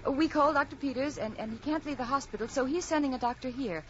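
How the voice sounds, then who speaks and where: An actress playing an earnest, emotional wife in a melodramatic radio drama. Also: female voice, high voice, fast, muffled recording, slight background noise, natural voice. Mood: anxious, relieved. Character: anxious, loving pregnant wife, later sad and reflective narrator. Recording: vintage radio drama with music behind.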